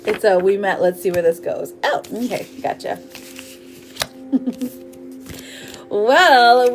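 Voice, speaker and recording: A woman's voice: on the high side, a bit bright. A woman hosting a live tarot reading, chatty and informal, talking affectionately to her viewers. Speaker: tarot reader hosting a livestream. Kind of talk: casual live chat while reading cards.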